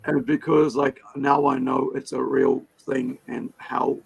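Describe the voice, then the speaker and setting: A man's voice: mid-range voice, level tone, takes it slowly, vocal fry, husky, low-quality recording. A man whose first language is Hindi, hosting a casual interview, talking in a rambling way full of fillers and restarts. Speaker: podcast host.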